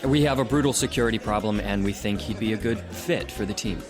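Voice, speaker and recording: An actor playing a persuasive, self-assured internet company executive in an audio drama, coaxing in corporate jargon. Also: male voice, on the low side, quick pace, over music, natural voice.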